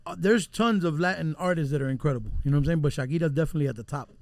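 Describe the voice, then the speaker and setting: Quick friendly male host, slightly high voice, dry sound. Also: darkish tone, dry recording. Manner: quick, agreeable, lots of "yeah" and "like". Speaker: podcast host. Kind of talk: casual chat.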